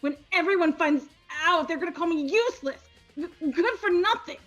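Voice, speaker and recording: Game role: paranoid, thin-skinned teen girl performed by a woman, high and piercing, stammers when indignant. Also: expressive, normal speed, fairly bright tone, faint music behind. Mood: angry, panicked. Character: insecure, paranoid teenage girl, shrill and easily wounded. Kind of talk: amateur voice acting of a video game script.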